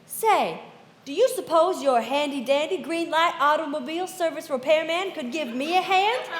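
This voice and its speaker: High-voiced gushing radio-ad gal, played by a woman. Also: animated, normal speed, slight background noise. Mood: gee-whiz, eager. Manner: gee-whiz, exaggerated earnest delivery. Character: wide-eyed, gushing female friend in old radio commercial. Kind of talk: 1940s radio advertisement acting. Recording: echoey hall, stage live, music behind.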